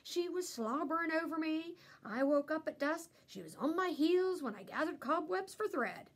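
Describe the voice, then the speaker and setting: High, animated woman reading a storybook. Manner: animated, pausing to ask listeners questions. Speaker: elementary school teacher reading aloud. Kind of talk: expressive children's storybook narration.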